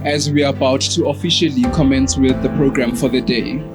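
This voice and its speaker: A man's voice: mid-range voice, level tone, fairly quick, loud music behind the voice. A man from South Africa hosting an awards ceremony as program director, with polished, formal emcee delivery.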